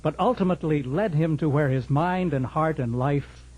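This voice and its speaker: A man's voice: fairly high pitch, normal speed, a little mellow, husky, low-quality recording. A man with an American accent reading scripted narration as the announcer of a radio drama.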